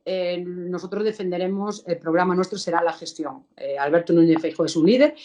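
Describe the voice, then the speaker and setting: A woman from Galicia with a Galician accent, a politician answering interview questions in a measured, explanatory way. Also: fairly low pitch, a little mellow. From Galicia, Spain. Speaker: politician guest.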